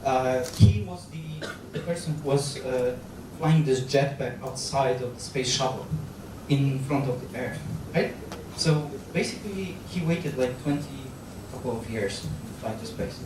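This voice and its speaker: A man's voice: medium pitch, noisy background. Polish-accented man lecturing in an echoey hall. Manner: halting, with frequent fillers, restarts and gestures. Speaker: guest lecturer on astronaut training. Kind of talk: public lecture. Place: large lecture hall, on stage. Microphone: handheld microphone. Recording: very echoey hall, captured from far off.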